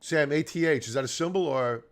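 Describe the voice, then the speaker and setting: A man's voice: a little high, brisk. A man hosting a stock trading show, giving live chart commentary in an informal, emphatic way, repeating key points and answering his own questions. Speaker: stock trading show host and technical analyst.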